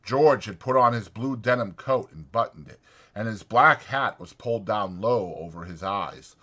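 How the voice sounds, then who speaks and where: A man's voice: lowish voice, lively intonation, normal speed, sharp tone. A man reading a story as an audiobook narrator, in a dramatic scripted style.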